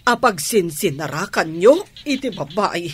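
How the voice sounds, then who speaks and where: An actress playing a hurt, angry betrayed wife in a Filipino radio drama, with an emotional, deliberate delivery and a Filipino accent. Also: female voice, on the low side, lively intonation, a little hiss or hum. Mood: wounded, accusing. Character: hurt, angry wife confronting her cheating husband.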